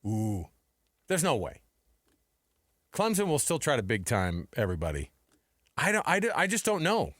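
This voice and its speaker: Casting: American male sports talk co-host, average pitch, colloquial off-the-cuff banter, very dry studio acoustics. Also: wildly swooping pitch, normal speed, long pauses, a bit bright, dry recording.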